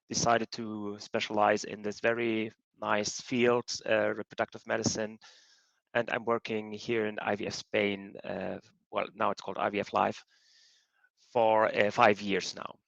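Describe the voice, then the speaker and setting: A man with a German accent presents as a fertility doctor in a webinar, explaining step by step with frequent ums. His voice sits low. The call audio is dry and lo-fi. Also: a bit bright, no echo. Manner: explanatory, hesitant with many fillers.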